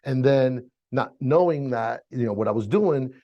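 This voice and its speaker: A man's voice: mid-range voice, animated, a little slow. A middle-aged American man being interviewed, telling stories casually in slangy, informal talk on a slightly dull mic. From Miami, raised there, born in Chile. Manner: slangy, informal, frequent "you know" and "bro". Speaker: interview guest, music engineer. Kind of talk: casual storytelling.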